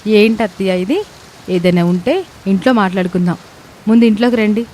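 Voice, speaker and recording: A woman's voice: medium pitch, expressive, brisk, mellow, a little hiss or hum, close to an everyday voice. A woman voicing an earnest, respectful young daughter-in-law who pleads and explains in a family moral story. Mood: pleading. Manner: respectful, persuasive. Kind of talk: dramatised moral story dialogue.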